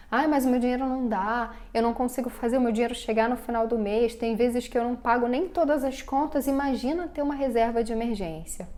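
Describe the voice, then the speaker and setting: A woman's voice: on the high side, darkish tone. A woman with a Brazilian Portuguese accent giving a direct-to-camera personal finance lesson, talking straight to the viewer and acting out the viewer's doubts. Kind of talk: direct-to-camera scripted explainer.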